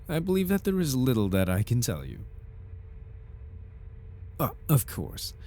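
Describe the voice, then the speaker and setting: A man playing a smooth-talking, flirtatious and faintly sinister corporate advisor in a formal, theatrical ASMR roleplay. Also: fairly low pitch, darkish tone. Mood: smug salesmanship. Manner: theatrical, formal, silky salesman politeness. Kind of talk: ASMR roleplay, scripted in-character monologue.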